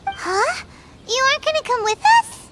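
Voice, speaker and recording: Cheeky little fairy kid for a game, super high squeaky, bouncy pitch. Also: female voice, sing-song, normal speed, heavy background noise. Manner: exclamatory, speaks of self in third person. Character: small excitable childlike fairy companion girl, bossy and cheeky. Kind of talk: video game voice acting. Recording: loud music behind voice.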